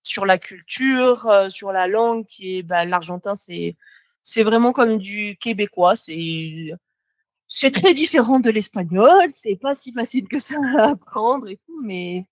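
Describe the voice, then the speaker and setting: A woman's voice: mid-range voice, lively intonation, unhurried, no room sound. A young French woman, a motion designer, chatting as a podcast guest, talking freely with lots of fillers and restarts. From France. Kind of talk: casual podcast conversation.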